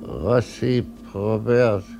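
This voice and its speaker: A man playing a blind retired sea captain, murmuring slowly and mournfully in a literary radio play. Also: fairly low pitch, creaky, heavily acted. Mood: dreamy, mournful. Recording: voice over loud music.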